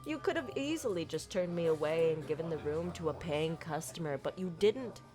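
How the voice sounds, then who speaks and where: Flirty, low-voiced pirate captain played by a woman. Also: lively intonation, mellow. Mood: wistful, tender. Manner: theatrical, flowery, sincere phrasing with deliberate pauses. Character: flirty silver-tongued female pirate captain, tender and grateful. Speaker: audio roleplay performer. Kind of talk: ASMR roleplay monologue, romantic storytelling. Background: background music.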